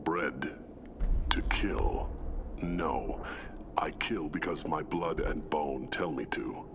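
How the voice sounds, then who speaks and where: A rumbling, newborn alien brute. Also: male voice, noisy background, full character voice. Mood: solemn, halting. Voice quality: very deep, gravelly, breathy growl. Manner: halting, simple, broken phrases. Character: week-old tank-bred reptilian alien brute, solemn, childlike, resolute. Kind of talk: dramatic video game acting.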